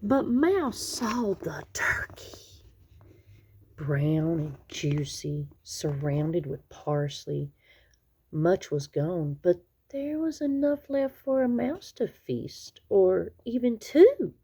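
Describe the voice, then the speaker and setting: A woman reading a children's picture book aloud expressively, with dramatic, drawn-out emphasis on key words. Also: lowish voice, big pitch swings, dark tone, slight background noise. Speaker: children's story reader.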